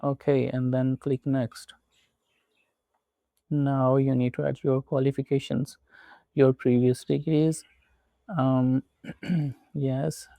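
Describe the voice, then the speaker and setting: Slow gravelly man walking through steps. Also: medium pitch, little pitch movement, warm tone, no room sound. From Pakistan. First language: not English. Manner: slow, methodical step-by-step instructions. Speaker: tutorial presenter. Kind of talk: screen-recorded walkthrough narration.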